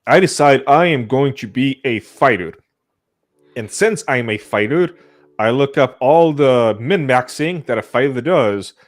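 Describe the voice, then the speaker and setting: A man's voice: mid-range voice, lively intonation, measured pace. A man on a podcast panel casually explaining his point through an example.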